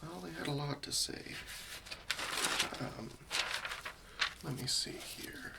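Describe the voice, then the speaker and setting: A man playing a gentle, patient, faintly wry professional cleanup worker in a softly whispered, hesitant ASMR roleplay. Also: a little high, slow, gravelly, very noisy, exaggerated voice. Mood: tactful, reassuring. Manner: soft, hesitant, soothing, politely tactful.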